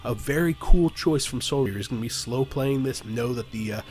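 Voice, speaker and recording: A man's voice: medium pitch, fairly quick, darkish tone, soft background music. A man with a stuffy, nasal voice from the flu, casually commentating a strategy game replay and rambling with frequent fillers. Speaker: game caster.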